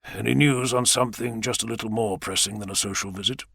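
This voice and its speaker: A man's voice: lowish voice, fairly even intonation, fast, creaky, fairly bright tone, breathy edge, no room sound, studio quality, exaggerated voice. Man acting a sardonic, articulate captive professor. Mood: dry. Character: brilliant captive professor, wry, composed, sardonic.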